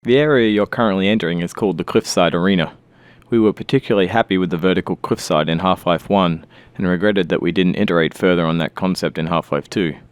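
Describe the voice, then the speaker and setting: A man's voice: low voice, quick pace, smooth, dry recording. A man giving scripted developer commentary as a game designer, explaining design choices.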